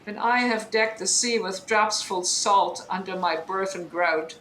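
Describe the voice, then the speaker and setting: A woman's voice: mid-range voice, unhurried, a bit bright, lightly acted. Proud, wronged exiled duchess acted by a woman, roomy sound. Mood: grave, tender. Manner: declamatory, intense, commanding. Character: wronged exiled duchess sorceress, proud mother telling her betrayal. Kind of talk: Shakespearean verse audio drama acting. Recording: roomy, faintly noisy home online recording.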